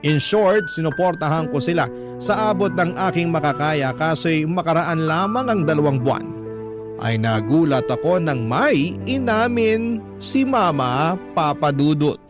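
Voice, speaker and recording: A protective Filipino son telling his story on radio. The voice is a little high, measured and earnest, with music clearly playing behind it. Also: animated. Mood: earnest, reflective. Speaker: letter-story narrator. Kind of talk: dramatised first-person radio narration in Tagalog. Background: music bed.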